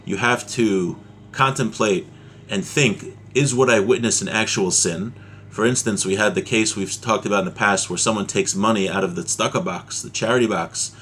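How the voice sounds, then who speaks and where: Male religious teacher, fairly deep voice, explaining in plain informal terms, slight noise behind him. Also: fairly bright tone. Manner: explanatory, with everyday examples. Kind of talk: informal religious text lesson, reading and explaining.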